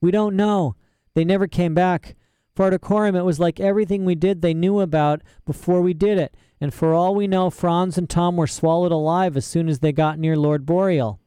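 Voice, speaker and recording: A small wounded ferret spirit, female, faint and panting as she pushes her words out, as a man puts her on. Her voice sits higher than a narrator's and her grammar is rustic. The recording is dry and close. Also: fairly even intonation, normal speed, warm tone, clean tone, no echo, close to an everyday voice. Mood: strained urgent. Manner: urgent, strained, rustic grammar.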